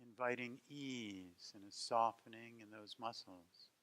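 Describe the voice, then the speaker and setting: Male meditation guide, medium pitch, gentle and unhurried, leaves long silences between phrases. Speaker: meditation teacher.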